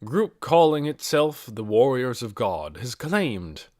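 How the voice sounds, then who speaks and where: A man voicing a grave president making formal, measured announcements within a storybook reading. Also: medium pitch, sing-song, a little mellow, dry recording, clean studio sound. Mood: grave, official. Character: grave male president giving televised news announcements.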